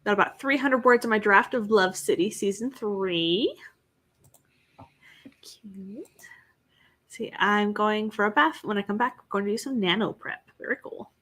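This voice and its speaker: A woman's voice: mid-range voice, unhurried, pauses often, fairly bright tone. A young woman hosting a casual livestream, reading out viewer chat and greeting people by name. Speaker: livestream host.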